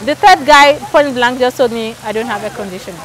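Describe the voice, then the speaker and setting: A woman's voice: on the high side, brisk, fairly bright tone, rough recording. A Nigerian woman with a Nigerian accent, chatting candidly and with animation as an interviewee. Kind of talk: casual outdoor interview.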